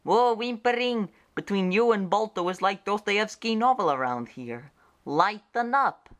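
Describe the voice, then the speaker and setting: A woman's voice: mid-range voice, expressive, measured pace, natural voice. A woman voicing a laid-back, kindly young male wolf-dog in a cartoon fan dub, easygoing and wry.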